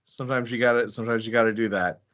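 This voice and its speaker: A man's voice: medium pitch, fairly even intonation, rapid-fire, fairly bright tone, highs cut off. A man from Ohio hosting a casual podcast interview, often stammering and repeating words as he forms questions. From Ohio, United States. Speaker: podcast host.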